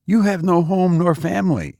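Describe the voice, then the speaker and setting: A man's voice: sits high, moderate pace, creaky, darkish tone, no echo, clean studio sound, pitched above the performer's own voice. A man voicing an ardent young male student in a storybook reading, fervent and dramatic in manner. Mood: ardent, pleading. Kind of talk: storybook character dialogue.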